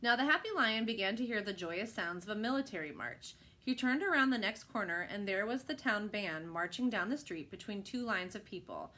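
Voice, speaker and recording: A woman reading a children's picture book aloud with expressive narration. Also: medium pitch, fairly quick, few pauses.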